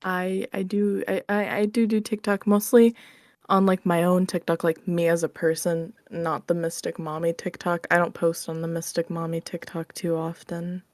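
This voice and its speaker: A woman's voice: fairly low pitch, level tone, normal speed, a little mellow, no room sound, studio quality. A woman speaking casually as a podcast guest, with a playful, giggly way of talking. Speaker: podcast guest, ASMR roleplay creator. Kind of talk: casual chat.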